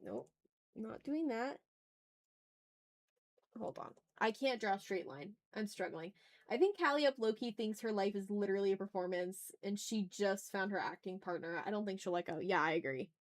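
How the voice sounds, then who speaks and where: A woman's voice: mid-range voice, normal speed. Casual woman streamer, thinking aloud. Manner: informal, thinks aloud, mutters to self. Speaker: art livestream host. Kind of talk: casual livestream chat while drawing.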